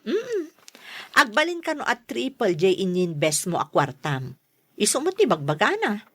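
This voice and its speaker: A skeptical elder sister in an Ilocano radio drama. She chides and explains plainly in a mid-pitched, highly animated voice that swings up and down. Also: normal speed, husky, exaggerated voice. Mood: wary, explaining. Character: skeptical, scolding elder sister of a young woman.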